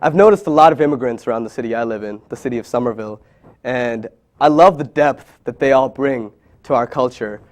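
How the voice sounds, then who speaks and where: A man who grew up in Bangladesh narrates a documentary, his delivery earnest and reflective. His voice sits at an ordinary middle pitch, and he sounds as though he is reading from a script. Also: fairly quick, darkish tone.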